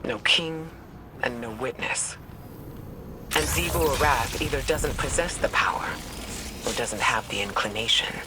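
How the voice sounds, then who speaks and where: A grave, learned male strategist played by a man speaks at middle pitch. He is measured and analytical, a video game advisor heard through clear battle noise. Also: natural voice. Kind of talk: scripted game dialogue.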